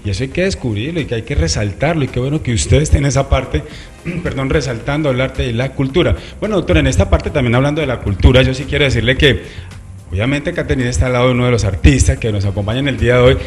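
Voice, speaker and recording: A man's voice: mid-range voice, fast, faint music behind. A man in his thirties with a Colombian accent, hosting a conversational morning-show interview on a handheld mic in a small studio. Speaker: TV host. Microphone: handheld microphone.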